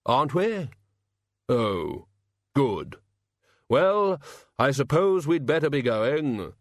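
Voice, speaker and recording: A man's voice: medium pitch, very animated, no room sound, studio quality, voice pushed up. A man voicing a red-hooded gift-bringer with a heavy, puzzled, gloomy voice. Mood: glum. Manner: slow, heavy, glum.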